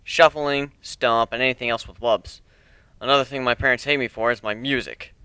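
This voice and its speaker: Man as a sardonic, bright-voiced teenage nerd. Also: mid-range voice, fairly even intonation, moderate pace, clear, resonant tone, no room sound, close to an everyday voice. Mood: bitter, sardonic. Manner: sardonic, emphatic. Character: sarcastic, bitter bullied male teenage nerd narrator. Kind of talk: first-person dramatic story reading.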